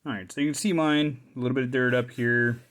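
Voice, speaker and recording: Male hobbyist repairer, medium pitch, casual and unscripted, talks through what he is handling in short halting bursts. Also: fairly even intonation, a bit bright. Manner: informal, thinking aloud in short halting phrases. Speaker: hobbyist repairer presenting his own video. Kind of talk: casual hands-on show-and-tell commentary.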